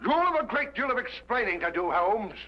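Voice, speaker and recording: An actor playing a brusque, suspicious and impatient police inspector in an old-time detective drama. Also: male voice, sits high, sing-song, fairly quick. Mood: gruff suspicion. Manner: blustering, impatient. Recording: muffled, faintly noisy old radio-era recording.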